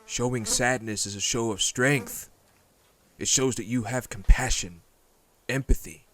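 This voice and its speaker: A man's voice: medium pitch, a little slow, fairly bright tone, pitched above the performer's own voice, lightly acted. A man playing a stern but compassionate lord and grieving head of his house in a dramatic audio roleplay, speaking formally and emphatically. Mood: firm, earnest. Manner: earnest, formal, emphatic, indignant.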